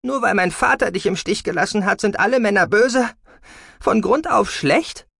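A man's voice: high-pitched, sing-song, normal speed, gravelly, breathy edge, dry recording, studio quality, pitched above the performer's own voice, heavily acted. A man voicing a hot-tempered, defiant adolescent girl in an audiobook, indignant and emphatic. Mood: angry. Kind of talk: dramatic audiobook character acting.

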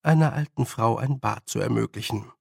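A man voicing a brisk, bossy, good-humoured old woman witch with a loud, teasing and scolding manner, in an audiobook. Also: medium pitch, vocal fry, slightly rough, no room sound, studio quality, pitched below the performer's own voice. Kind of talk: audiobook character voice.

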